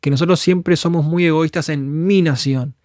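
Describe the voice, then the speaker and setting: A man from Argentina speaks with a broad Argentine Spanish accent and a voice pitched a little above the usual for men. He preaches in an earnest, fervent, conversational way and slips into prayers as if speaking them aloud. The sound is dry and close, with no room in it. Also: fairly even intonation, normal speed, darkish tone, no room sound. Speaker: guest speaker.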